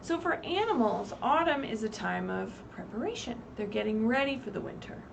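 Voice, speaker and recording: A woman reading aloud expressively at a children's story time, pausing to put simple questions to her young listeners. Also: on the high side, animated, gravelly. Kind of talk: animated read-aloud with asides to young children. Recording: distant mic, faint background noise, livestream.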